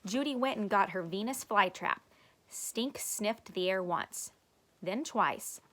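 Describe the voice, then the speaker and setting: Female read-aloud narrator: teacherly, expressive, slow pace, very lively pitch. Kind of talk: children's chapter book read-aloud.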